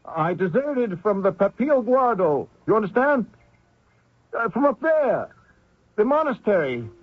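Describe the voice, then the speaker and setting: A man's voice: high-pitched, sing-song, unhurried, breathy edge, no room sound, full character voice. An actor playing a desperate, wounded American fugitive soldier who dramatically recounts his escape in old-time radio style. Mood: pleading, exhausted. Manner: dramatic first-person narration with strained pleading. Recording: tinny old radio broadcast with music.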